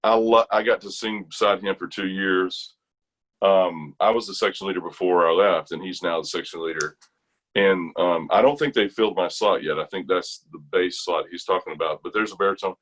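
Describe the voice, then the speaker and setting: A middle-aged American man telling stories casually in conversation, animated and colloquial. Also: low-pitched, moderate pace, poor audio. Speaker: interview guest, retired army chorus bass singer.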